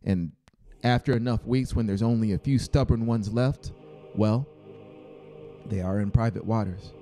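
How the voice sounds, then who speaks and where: A man voicing a blunt, resolute male cousin in an audiobook, explaining things in a flat, matter-of-fact way. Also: fairly low pitch, unhurried, faint music behind, lightly acted.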